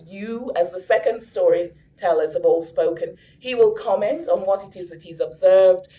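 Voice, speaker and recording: A woman's voice: medium pitch, a little mellow, rough recording. A woman hosting a story circle at a conference, explaining how it works in a friendly way with light jokes. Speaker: host. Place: conference room.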